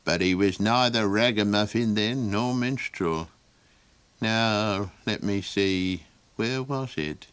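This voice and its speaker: A wry storybook traveller muses in a low, unhurried voice, as a man reading aloud puts him on. He draws out his words thoughtfully. The sound is dry and close. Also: fairly bright tone, smooth, dry recording. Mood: musing. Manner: slow, musing, wry. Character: musing man, a grinning traveller.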